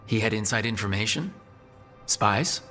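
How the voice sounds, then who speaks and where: A man's voice: fairly low pitch, animated, measured pace, bright, forceful tone, soft background music. A man voicing a supportive, inquisitive hero in a video game, with a direct, questioning manner. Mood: probing.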